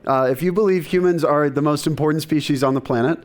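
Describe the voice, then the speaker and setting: Young male co-founder, calm stepwise reasoning, voice a little high. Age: young adult. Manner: measured, reasoning step by step. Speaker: startup co-founder on a panel. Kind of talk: panel discussion answers. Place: conference stage before an audience.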